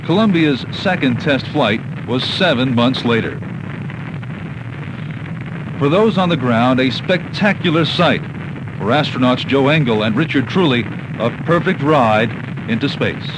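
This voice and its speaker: A man's voice: medium pitch, animated, unhurried, background noise. A man with an American accent reads scripted documentary narration, recorded on a 1980s cassette tape. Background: background music.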